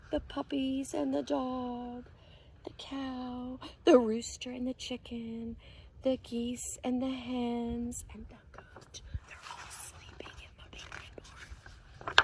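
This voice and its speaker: A woman reading a picture book aloud to preschoolers as their teacher, expressive and sing-song, often hushed, pausing to explain words and ask questions. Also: a little high, vocal fry, slight background noise. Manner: animated, asks questions and explains words to children.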